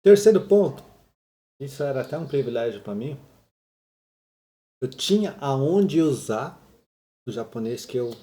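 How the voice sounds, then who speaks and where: A man's voice: mid-range voice, expressive, measured pace, long pauses, warm tone. A middle-aged man teaching Japanese in a casual talking-head video, speaking conversationally with frequent tag questions and restarts.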